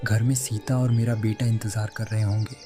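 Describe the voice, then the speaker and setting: A man's voice: fairly low pitch, level tone, a little fast, lightly acted. A man playing a poor village woodcutter and father in a melodramatic cartoon moral story, pleading and lamenting. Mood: anxious, urgent. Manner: pleading, lamenting, repeats himself. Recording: loud music behind the voice.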